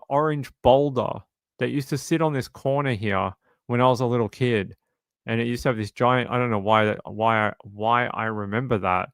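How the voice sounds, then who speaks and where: Australian guy, about forty, streamer, casual rambling chat, mid pitch, dry close mic. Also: clear, resonant tone, no echo. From Sydney, Australia. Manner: rambling, self-correcting storyteller. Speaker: true crime streamer.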